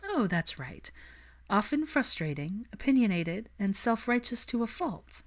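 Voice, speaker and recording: A woman's voice: medium pitch, very animated, a little slow, voice pushed up. A woman voicing a determined, frank young witch in an audiobook-style story, articulate and earnest, with a teasing edge.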